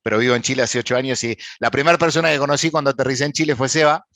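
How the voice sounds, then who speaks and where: Argentine man, mid-pitched, dry sound, eager moderator who stumbles on fillers. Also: steady tone, very fast, fairly bright tone, dry recording. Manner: enthusiastic, with frequent hesitations and fillers. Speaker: panel moderator. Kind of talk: introducing panelists at an online event.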